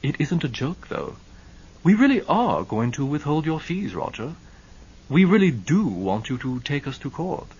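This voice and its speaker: Guy doing a calm smooth lawyer type, husky, muffled lo-fi audio. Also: a little high, voice pushed up. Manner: measured, composed.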